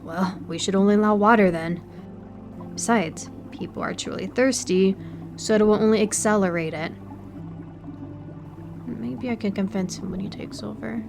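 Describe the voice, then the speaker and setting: A woman's voice: medium pitch, moderate pace, creaky, long pauses, lightly acted. Woman playing prim aquarium lady in an audio rp, precise n politely condescending, music behind her. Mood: conversational, mildly weary. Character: educated aquarium worker, condescending daughter of the owners. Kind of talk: audio roleplay acting, one-sided conversation.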